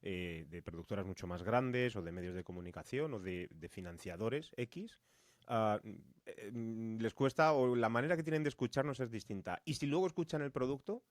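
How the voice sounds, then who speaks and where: Male co-host, lowish voice, Spain Spanish, casual halting storytelling, dry studio-like sound. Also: lively intonation, dry recording. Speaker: podcast co-host, fiction podcast creator. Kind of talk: casual conversation, telling anecdotes.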